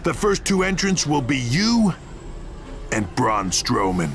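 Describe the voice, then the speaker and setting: An actor playing a menacing, smug wrestling boss who taunts in a deliberate promo speech. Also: male voice, medium pitch, sing-song. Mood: smug threat. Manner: slow, taunting, deliberate pauses. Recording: hall echo, faint background noise.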